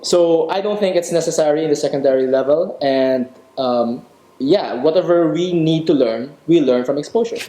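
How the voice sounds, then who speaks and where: Homme posé dans une pièce résonnante. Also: male voice, fairly high pitch, moderate pace, mellow. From Philippines, outside Manila. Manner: argues his point firmly. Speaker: podcast panelist. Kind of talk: casual panel discussion. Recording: roomy echo.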